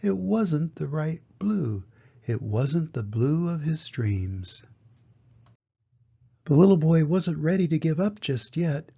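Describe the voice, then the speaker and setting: A man's voice: on the high side, sing-song, slow, gravelly, dark tone, breathy edge. An older man reading a children's picture book aloud as a grandfather, in storybook narration style. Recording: dull lo-fi home recording.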